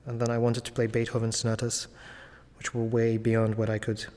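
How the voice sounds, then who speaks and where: A man's voice: medium pitch, completely flat delivery, fairly quick, a little mellow, in a large hall. A man in his thirties from Latvia and raised in Ukraine, a classical pianist chatting casually as an interview guest, thoughtful and full of fillers and restarts.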